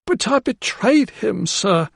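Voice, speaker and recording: A man's voice: high voice, expressive, slow pace, vocal fry, husky, dry recording, studio quality, voice pushed up, full character voice. A man voicing a remorseful woman housekeeper who speaks in a quavering, pleading voice. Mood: tearful pleading.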